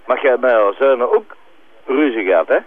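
An actor playing a blunt, needling Flemish police investigator in a crime drama, direct and insistent. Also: male voice, mid-range voice, animated, fairly quick, over a noisy crowd, close to an everyday voice, rough recording. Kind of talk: naturalistic TV crime drama dialogue.

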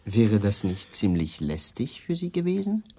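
A man's voice: lowish voice, wildly swooping pitch, a little slow, dark tone, exaggerated voice. A man acting in a 1980s German radio crime play as a persistent police detective, coolly polite and suspicious, putting pointed questions. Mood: probing, insistent. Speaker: actor in a radio crime drama.

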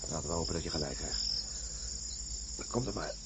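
A man's voice: a bass voice, brisk. A man playing a sarcastic, grumbling astronaut stranded in the jungle in a Dutch science-fiction radio drama. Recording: noisy radio play with insect ambience.

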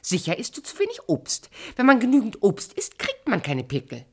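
A woman's voice: a little high, huge pitch swings, moderate pace, heavily acted. An actress playing a sly, evil queen posing as a fruit seller in a fairy-tale radio play, theatrical, wheedling and falsely caring. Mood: sing-song hawking.

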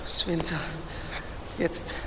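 A man's voice: extremely slow, noisy background. An actor playing a fearful, devoted young man in a German radio drama, pleading and faltering. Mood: tender, hopeful. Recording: long cavernous reverb, music underneath.

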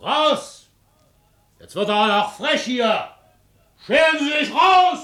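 Short-tempered bureaucrat, 1950s radio play; lecturing, officious, very animated pitch. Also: male voice, slow, exaggerated voice. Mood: irritated. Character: stern, dismissive police bureaucrat, short-tempered.

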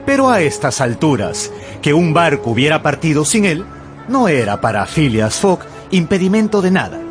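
A man narrates a radio drama in a theatrical, scripted style. His voice sits fairly high and moves in a lively way, with music underneath. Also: normal speed. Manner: storytelling, theatrical. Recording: music behind the voice.

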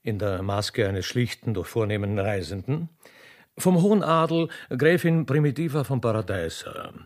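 A man's voice: mid-range voice, expressive, normal speed. A man narrating a radio play in a theatrical, mock-solemn and ceremonious way.

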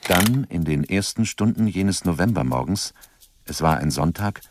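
Man, very deep voice, dry studio sound, sober scripted narration, measured, faint music. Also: lively intonation, no echo. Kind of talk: scripted documentary-style radio drama narration.

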